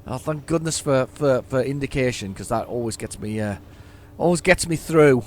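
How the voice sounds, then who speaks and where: A hoarse man giving casual, rambling commentary while playing a driving game at home, often stammering and repeating words as he chats to himself. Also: medium pitch, normal speed, a little mellow. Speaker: gaming YouTuber.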